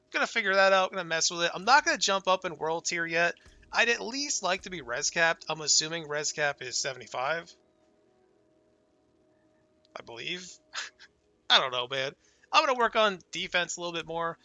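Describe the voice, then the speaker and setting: A young man casually talking through his game character, laid-back and excited, his voice worn and going hoarse from a cold. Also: on the high side, normal speed, long pauses, no echo. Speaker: gaming channel host. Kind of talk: casual gameplay commentary.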